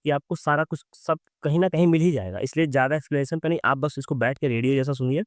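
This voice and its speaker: A young man from Bihar, teaching exam quiz questions in with a sore, hoarse throat, reading English questions slowly and explaining with many fillers. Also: on the high side, no room sound, clean studio sound.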